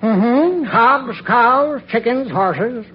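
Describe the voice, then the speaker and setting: High-pitched rustic old farmer. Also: male voice, animated, very slow, full character voice. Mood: stubborn, deadpan. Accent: rural American. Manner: laconic, folksy rustic drawl. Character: slow stubborn old bachelor hog farmer, male. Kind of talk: 1940s radio comedy acting. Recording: noisy muffled old radio recording.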